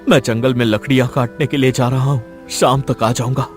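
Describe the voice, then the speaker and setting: An earnest, protective village father from a cartoon, played by a man, poor and devoted. His voice is mid-pitched, with light music behind it. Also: fairly even intonation, fairly quick, lightly acted. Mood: reassuring. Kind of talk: Hindi cartoon voice acting.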